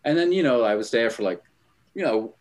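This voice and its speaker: A man's voice: medium pitch, expressive, fairly quick, a little mellow. A middle-aged man from Switzerland, a guest on a podcast, telling stories in a rambling way with lots of fillers and small laughs. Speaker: guest, album art director. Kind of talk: casual interview storytelling.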